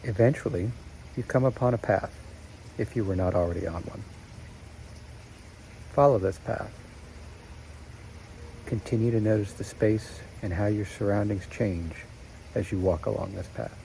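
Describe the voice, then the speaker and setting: A man narrating a guided meditation in a slow, soothing way with long pauses. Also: lowish voice, gravelly, warm tone, a little hiss or hum, poor audio.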